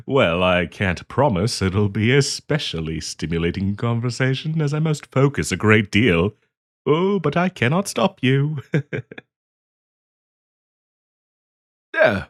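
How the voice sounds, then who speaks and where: A man's voice: medium pitch, vocal fry, full character voice. A man playing a polite, hesitant half-dragon diplomat in a fantasy roleplay audio, genteel and eager to please as he hosts the listener. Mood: delighted, bashful. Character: polite, flustered half-dragon diplomat hosting tea in his cave.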